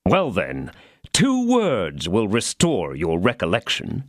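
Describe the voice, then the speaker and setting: A man's voice: lowish voice, huge pitch swings, slow, no room sound, clean studio sound, heavily acted. A man voicing a stern, threatening young swordsman who demands answers.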